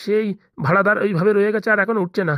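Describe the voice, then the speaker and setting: A man's voice: sits high, fairly even intonation, fast, fairly bright tone, no echo, clean studio sound. A man voicing a blunt, self-important small-time gangster boss in a comedy cartoon, bossy and businesslike with a Bengali accent. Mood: grumbling. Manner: bossy, businesslike, repeatedly seeking agreement.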